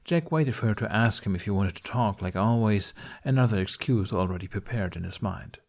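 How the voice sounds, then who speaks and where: A man's voice: fairly low pitch, a little fast, vocal fry, a little mellow. A man reading a fantasy story aloud as a scripted audiobook-style narration. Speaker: podfic narrator reading fan fiction.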